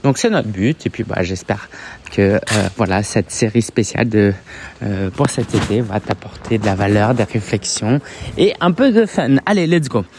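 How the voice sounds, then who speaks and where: A man's voice: lowish voice, lively intonation, moderate pace, noisy background. A man in his thirties from France with a French accent, talking casually to camera as a coach, hesitant and chatty with lots of fillers. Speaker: business coach. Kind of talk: casual vlog monologue.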